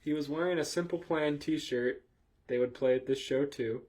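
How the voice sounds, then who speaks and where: A man's voice: fairly high pitch, moderate pace, darkish tone. A man hosting a comedy podcast, reading fan fiction aloud with casual, jokey banter and ready laughter.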